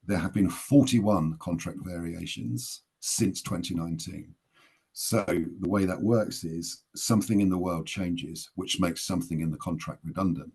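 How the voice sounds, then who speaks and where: Man, very deep voice, husky, emphatic, persuasive speaker. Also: unhurried, darkish tone. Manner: emphatic, persuasive, plain-spoken. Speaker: guest speaker. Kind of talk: webinar presentation.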